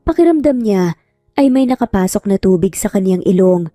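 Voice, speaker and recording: A woman's voice: mid-range voice, lively intonation, normal speed, mellow, no echo, studio quality. A woman reading a scripted romantic drama as a storyteller. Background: music.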